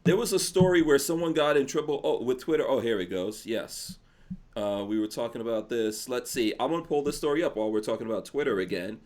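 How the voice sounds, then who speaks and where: A man's voice: medium pitch, quick pace. Male podcast host, easygoing and chatty, mid-range voice. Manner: relaxed, chatty, drifts into mumbled asides. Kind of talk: casual chat, reading viewer comments.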